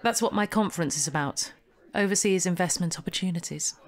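A woman's voice: fairly low pitch, fairly quick, close to an everyday voice. An actress playing a polished, evasive young professional woman in a naturalistic audio drama, poised and faintly condescending. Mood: smoothly confident.

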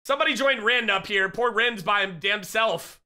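A man in his thirties streaming from home on a headset, loudly greeting chat with excitable, profane shout-outs while he is losing his voice. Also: high voice, moderate pace. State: losing his voice, getting sick. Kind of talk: casual live-stream chat.

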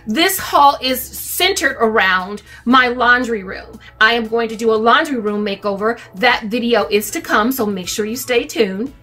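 A woman's voice: fairly high pitch, unhurried, hardly any pauses, bright, forceful tone, faint music behind. A middle-aged woman chatting casually to camera as a vlogger, recorded indoors in a room at home. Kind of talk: casual shopping haul chat to camera.

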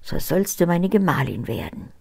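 A woman's voice: on the low side, sing-song, normal speed, vocal fry, heavily acted. An older woman reading a fairy tale aloud, voicing a stern, greedy king who gives orders.

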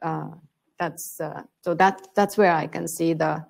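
A woman from Finland with a Finnish accent, answering on a conference panel, thoughtful and pausing often with fillers. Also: low voice, steady tone, gravelly. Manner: thoughtful, searching for words with many fillers. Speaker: panelist on elections. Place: conference room with a panel on stage.

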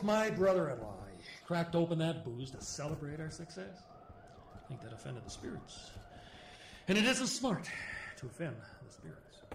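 Weathered folksy storyteller, roomy sound. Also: male voice, a little high. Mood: grim recollection. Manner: folksy, halting, solemn storytelling in clipped sentences. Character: weathered Ojibwe male storyteller recounting grim survival tale. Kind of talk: radio horror drama monologue. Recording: roomy, clear background noise and ambience.

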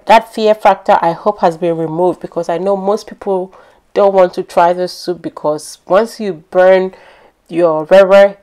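A woman home cook with a Ghanaian accent hosts in a slightly low voice. She explains each step conversationally, slipping into chatty storytelling as she goes. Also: mellow. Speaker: home cook hosting a cooking channel. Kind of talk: instructional cooking narration, conversational.

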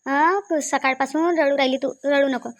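A woman's voice: high voice, fairly even intonation, moderate pace. A woman playing a sensible, protective village sister-in-law with a rural Vidarbha Marathi accent, reasoning insistently and repeating herself in an amateur family drama. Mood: reassuring.

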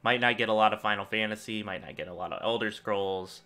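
A man's voice: lowish voice, quick pace, soft background music. A young man hosting a gaming channel, thinking aloud in rambling casual commentary while he plays, recorded at a desk in a small room at home. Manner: rambling, thinking aloud, lively swings in loudness.

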